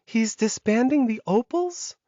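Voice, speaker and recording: A man voicing an anxious young werewolf woman in an audiobook, soft, earnest and plaintive. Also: very high-pitched, lively intonation, measured pace, dry recording, highs cut off, pitched above the performer's own voice. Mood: questioning.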